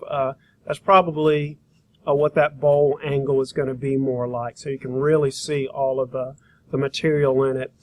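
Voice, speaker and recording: A man teaching a software demonstration, talking informally and thinking aloud as he works through each step. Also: a little high. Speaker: graphic design instructor.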